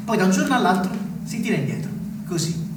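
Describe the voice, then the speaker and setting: An actor playing a bitter, stubborn small-town man in a naturalistic stage play, halting and probing, with wry asides. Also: high voice, animated. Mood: bitter, insistent. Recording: echoey, distant, very noisy live stage recording.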